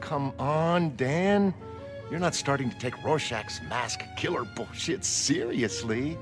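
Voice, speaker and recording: A man's voice: medium pitch, slow, over music, pitched above the performer's own voice, natural voice. A man voicing a frank, curious, wry woman in a motion comic, speaking directly and teasingly. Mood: exasperated. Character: adult woman, frank, curious, wry former costumed heroine. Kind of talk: motion comic character acting.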